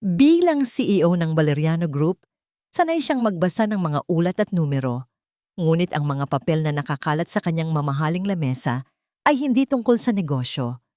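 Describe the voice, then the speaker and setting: Female story narrator. Measured and dramatic, very varied melody, close dry sound. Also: medium pitch, warm tone, no room sound.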